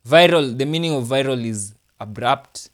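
A man's voice: medium pitch, moderate pace. A man co-hosting a casual podcast chat, from Kenya, steering the talk with questions. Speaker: podcast co-host.

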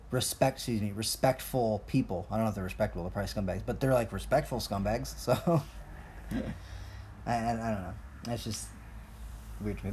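A man's voice: mid-range voice, dark tone, slight background noise. A young man, originally from Colombia, rambling in a profane, jokey, self-mocking rant as a podcast host. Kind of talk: casual rambling monologue.

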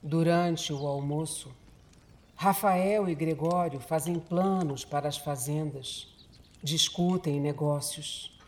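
A narrator delivering scripted dramatic narration for a horror radio drama in a measured, deliberate storytelling manner. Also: medium pitch.

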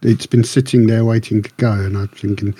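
A man's voice: on the low side, little pitch movement, a little fast, warm tone, slightly rough, no echo. A man co-hosting a podcast, telling anecdotes about films in casual chat. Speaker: podcast co-host.

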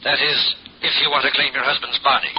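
Casting: earnest telephone caller breaking bad news, mid-pitched, muffled vintage radio sound. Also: male voice, little pitch movement, fast talker. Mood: solemn, official. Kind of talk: 1940s radio drama acting. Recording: muffled noisy old radio recording.

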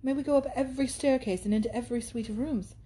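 A woman's voice: fairly high pitch, quick pace, mellow, pitched above the performer's own voice, natural voice. Woman voicing an anxious young heroine. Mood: eager. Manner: earnest, anxious questioning. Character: eager young heroine, hesitant and polite.

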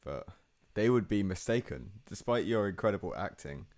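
Young male podcast host; loose, rambling, self-deprecating delivery, very low voice. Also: expressive, moderate pace, darkish tone. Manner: rambling, self-mocking, laughs often. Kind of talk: casual chat.

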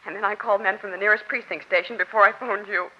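A woman's voice: mid-range voice, steady tone, fast talker, husky, close to an everyday voice. An actress playing an anxious, loyal young woman, earnest and pleading, in 1940s radio drama style. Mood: worried. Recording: muffled old radio recording.

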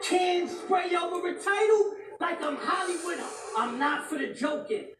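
Woman battle rapper, high and shouty, caught echoey and off-mic at a live venue. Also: animated, a little slow, distant mic, a little hiss or hum. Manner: aggressive, shouting taunts, repeating lines. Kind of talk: battle rap performance.

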